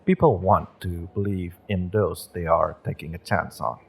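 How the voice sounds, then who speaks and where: A man playing a kind, wistful witch and tarot shopkeeper in a roleplay audio, speaking to the listener in a gentle, encouraging, unhurried way. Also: low voice, steady tone, creaky, slightly rough, heavily acted. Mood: reassuring, hopeful.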